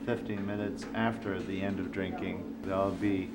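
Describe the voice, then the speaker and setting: A man supervising a driving test, explaining the procedure and questioning participants in a matter-of-fact, deliberate way. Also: sits low, level tone. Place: outdoor closed driving course. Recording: echoey outdoor recording with ambient noise.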